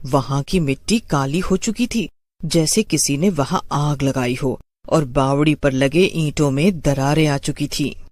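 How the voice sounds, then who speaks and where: A narrator telling a scripted horror tale. The reading is slow and sits at a middling pitch. It is recorded dry, with no room around it. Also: darkish tone, no room sound.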